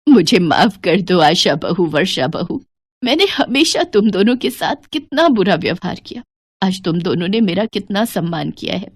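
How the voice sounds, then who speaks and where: A woman's voice: on the low side, lively intonation, normal speed, gravelly, dry recording, voice pushed down. A woman voicing an elderly widowed mother-in-law in a family story, emotional, hurt and pleading. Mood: tearful remorse.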